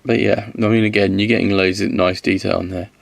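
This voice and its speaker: Man, hoarse with a cold, low and dry. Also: steady tone, a little fast, dry recording. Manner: rambling, self-correcting, counts aloud. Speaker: miniatures reviewer. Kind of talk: casual unboxing review.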